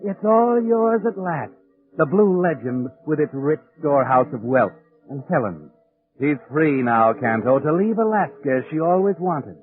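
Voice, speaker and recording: An actor playing a sinister, knowing narrator in a 1940s radio thriller, speaking in an insinuating, dramatic manner. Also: male voice, fairly high pitch, animated, measured pace. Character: sinister, knowing narrator taunting the guilty man. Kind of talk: 1940s radio suspense narration in second person. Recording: tinny 1940s radio recording with music.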